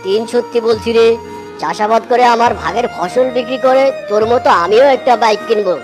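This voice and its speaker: Woman as a high-voiced blustery tiger uncle. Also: a little fast, close to an everyday voice. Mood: friendly, admiring. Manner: emphatic, repeats exclamations. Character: gullible, blustery but friendly tiger uncle. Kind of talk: exaggerated children's cartoon acting. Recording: clear music behind the voice.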